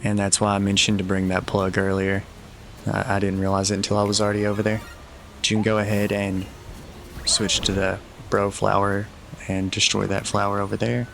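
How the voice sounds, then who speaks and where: A man's voice: fairly low pitch, monotone, a little fast, vocal fry, a bit bright. A man giving casual video game walkthrough commentary and tips in a matter-of-fact, advisory way.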